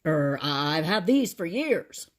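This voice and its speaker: A woman's voice: low-pitched, big pitch swings, takes it slowly, full character voice. A woman voicing a serious, earnest male police officer in a children's storybook. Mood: taken aback.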